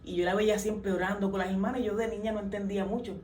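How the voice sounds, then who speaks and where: A young woman with a Puerto Rican accent tells her story as an interview guest, earnest and pausing often on fillers. She sits back from the microphone in a reverberant room. A faint ambient hiss sits under her voice. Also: medium pitch, steady tone, darkish tone. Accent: Puerto Rican Spanish. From Puerto Rico. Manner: earnest, many hesitations and fillers. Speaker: guest, worship singer. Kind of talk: testimony in an interview. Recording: roomy, distant mic, faint ambience.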